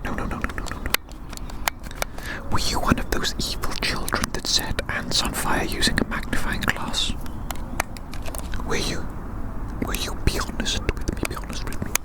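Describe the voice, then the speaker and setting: An ASMR host whispering a playful roleplay monologue as a quirky, inquisitive scientist, softly repeating phrases. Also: moderate pace, pauses often, rough recording. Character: quirky, inquisitive scientist examining a little creature.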